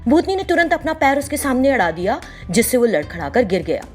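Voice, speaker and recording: Animated woman storyteller over music. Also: high-pitched, fairly quick. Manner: expressive storytelling. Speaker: narrator. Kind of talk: scripted children's story narration.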